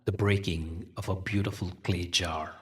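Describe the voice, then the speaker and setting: A man leading a guided meditation as a teacher, telling spiritual stories in a gentle, measured, soothing way with deliberate pauses. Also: low voice, steady tone, distant mic.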